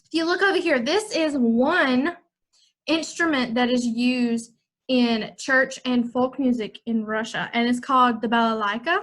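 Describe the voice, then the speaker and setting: A woman's voice: fairly high pitch, steady tone, unhurried, a bit bright. A young woman from the United States, a music teacher giving an upbeat, chatty online lesson to children.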